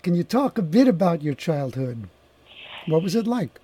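A man hosting a talk show, introducing his guest in a measured, emphatic way and then interviewing her. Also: a little high, big pitch swings, mellow, breathy edge, dry recording.

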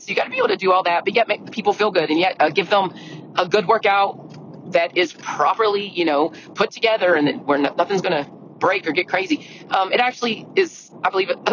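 A middle-aged woman with a deep, scratchy voice hosting a solo podcast, chatty and earnest, often restarting her sentences. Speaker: podcast host. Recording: lo-fi audio with clear background noise.

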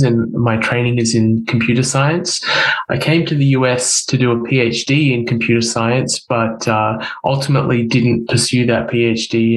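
A man with an Australian accent answering as a podcast guest in a conversational way, often pausing mid-sentence. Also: medium pitch, rough recording.

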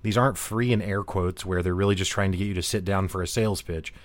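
A man with a fairly low voice hosts an interview podcast. He talks in a conversational presenter style, and the sound is dry, with very little room in it. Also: steady tone, quick pace, no room sound. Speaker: podcast host.

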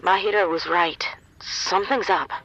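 A woman's voice: lowish voice, moderate pace, a bit bright, dull audio. A woman acting in an audio drama as a capable, matter-of-fact team member on a covert mission, with dry humour. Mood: focused, guarded. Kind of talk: naturalistic fiction podcast acting.

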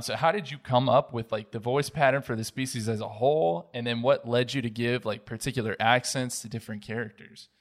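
A man co-hosting a podcast and putting questions to a guest in casual conversational speech. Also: medium pitch. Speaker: podcast host, interviewer.

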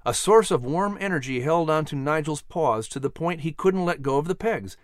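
A male storyteller reads a children's audiobook with expression. His mid-pitched voice moves up and down in a lively way, and the recording is dry, with no room sound. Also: normal speed, no echo. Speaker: storyteller and host.

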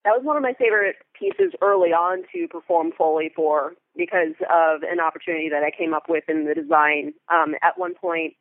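A woman's voice: deep voice, fairly quick, hardly any pauses, no room sound. A woman speaking as an interview guest, a Foley artist telling stories in a casual, enthusiastic and descriptive way. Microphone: phone or remote call. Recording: tinny, narrow phone-like line.

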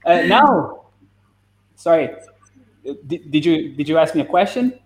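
A male co-host with a slightly higher voice who chats in an eager, halting way. He is heard over a video call, and his voice has a roomy echo around it. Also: lively intonation. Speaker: podcast co-host. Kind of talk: casual interview chat.

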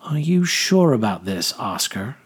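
A man's voice: medium pitch, sing-song, a little slow, slightly rough. A man voicing a formal, concerned noblewoman in an audiobook. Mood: alarmed concern. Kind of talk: audiobook character dialogue.

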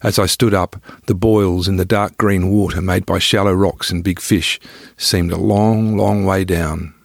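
A man's voice: deep voice, fairly even intonation, measured pace, gravelly, no echo, clean studio sound. A middle-aged man reading his own travel memoir as scripted first-person narration.

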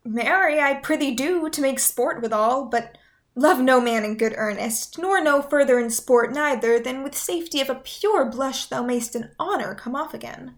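A loving, playful young noblewoman, acted by a woman in a Shakespearean radio drama. Her voice sits very high, and she speaks articulately, with a tender, coaxing manner. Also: moderate pace, natural voice. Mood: playful, witty. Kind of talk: Shakespearean radio play acting.

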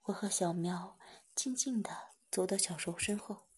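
A woman reading a first-person story aloud in a soft whisper. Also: medium pitch, unhurried, breathy edge.

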